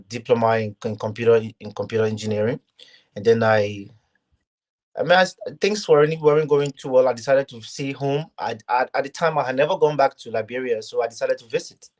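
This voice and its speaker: A man's voice: mid-range voice, fairly even intonation, a little mellow. A young man from Ghana, talking earnestly as a guest in a casual interview, with frequent restarts. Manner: earnest, halting, many restarts.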